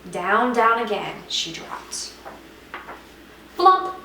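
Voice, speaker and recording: A woman reading a children's picture book aloud as a teacher would, with expressive, dramatic emphasis. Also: on the high side, drawn-out words, creaky. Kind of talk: expressive scripted storybook narration. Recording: echoey room, distant mic, faint noise.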